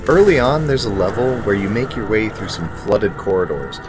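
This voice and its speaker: A man's voice: fairly low pitch, animated, normal speed, over music. A man in his thirties giving a scripted, dryly humorous video game review to camera.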